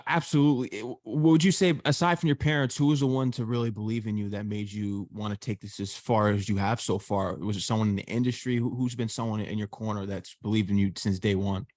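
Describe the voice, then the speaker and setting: A man's voice: fairly low pitch, fairly even intonation, quick pace, hardly any pauses, darkish tone, dry recording. A man hosting an interview show, asking eager questions with frequent stammered restarts. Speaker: radio show host, interviewer.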